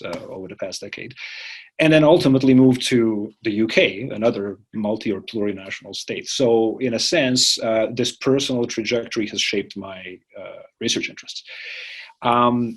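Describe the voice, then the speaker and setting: A man's voice: medium pitch, normal speed, slightly rough. A man from Croatia, an academic answering interview questions thoughtfully, with many fillers and restarts. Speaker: interviewee, political science academic.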